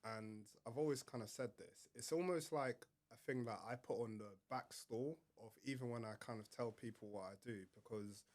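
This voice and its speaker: A man, a bit croaky from being ill, explaining his work as a podcast guest in a measured way, with plenty of fillers. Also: mid-range voice, a little mellow. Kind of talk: casual podcast interview.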